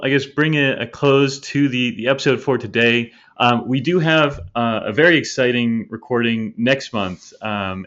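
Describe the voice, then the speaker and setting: A man co-hosting a podcast in friendly, casual talk, pausing often with fillers and restarts. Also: mid-range voice, a bit bright, dull audio. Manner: hesitant, lots of fillers, friendly. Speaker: podcast co-host.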